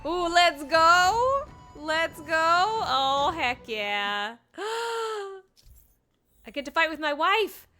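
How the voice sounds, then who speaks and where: A woman streaming her gameplay with casual running reactions. Her voice is high and bright, and her pitch swings widely. She is excitable and playful, tossing out mock threats at a slow pace. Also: soft background music. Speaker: game streamer.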